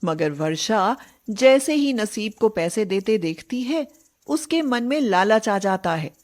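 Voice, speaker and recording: A woman narrates a moral story from a script. She goes at a slow, measured pace in a middling female register, as a storyteller. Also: mid-range voice, animated.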